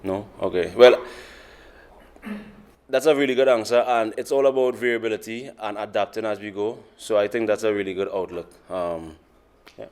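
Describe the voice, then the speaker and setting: A man's voice: fairly low pitch, moderate pace, darkish tone. A man from Trinidad and Tobago, a PhD student who cheerfully asks the audience questions. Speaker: PhD student and lab assistant director. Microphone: video call.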